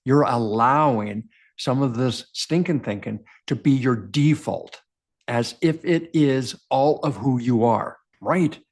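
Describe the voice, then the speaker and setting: An earnest man with a baritone voice speaks straight to the camera as a coach, at a middle pitch. He talks directly and repeats key phrases to drive them home. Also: takes it slowly. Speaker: men's coach and show host. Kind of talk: motivational self-help talk to camera.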